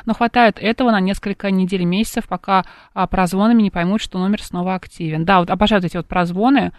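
A man's voice: sits high, fairly even intonation, quick pace, dry recording, clean studio sound. A man co-hosting a talk radio show, reading messages and trading offhand banter. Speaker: radio co-host. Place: radio studio.

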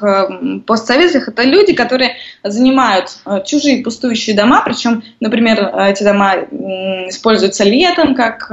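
Woman guest with hesitant, muffled audio. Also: a little high. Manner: thoughtful, many hesitations. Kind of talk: conversational interview.

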